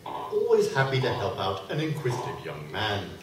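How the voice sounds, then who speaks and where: A man's voice: fairly low pitch, wildly swooping pitch, moderate pace. A man playing an eccentric, patronising doctor in a comic radio play. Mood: obliging. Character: eccentric male doctor, smug, patronising, sourly misogynistic. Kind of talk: comic radio play acting before an audience. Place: convention room, live stage performance. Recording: echoey distant live room recording, background noise.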